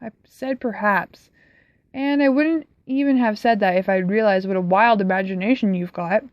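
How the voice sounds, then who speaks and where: A woman's voice: medium pitch, normal speed, no room sound, lightly acted. Uncle Clement, genial and firm, read by a woman. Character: genial but firm middle-aged uncle. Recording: slightly dull, dry recording.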